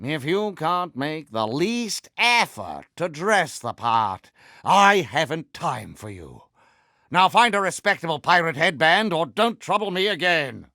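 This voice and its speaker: Vain pirate villain, British accent, highish swooping pitch, grandiose, mannered, theatrical game voice. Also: male voice, big pitch swings, measured pace, pushed and bright, no echo, studio quality, heavily acted. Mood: stern, condescending. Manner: pompous, grandiose, mannered formal speech. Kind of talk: theatrical video game character voice acting.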